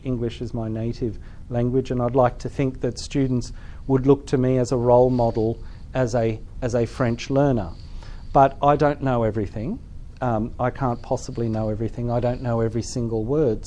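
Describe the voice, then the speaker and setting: A man's voice: medium pitch, warm tone, slight background noise. A middle-aged man presenting a workshop at a teachers' forum, speaking thoughtfully with frequent ums and restarts. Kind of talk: conference workshop talk. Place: lecture room at a teachers' forum.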